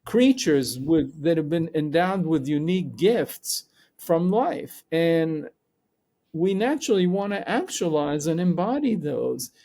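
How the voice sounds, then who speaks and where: Gravelly, fairly high-voiced man. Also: warm tone. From Israel. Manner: thoughtful, rambling with self-corrections. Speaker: podcast guest, psychologist and coach. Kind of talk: reflective conversational interview.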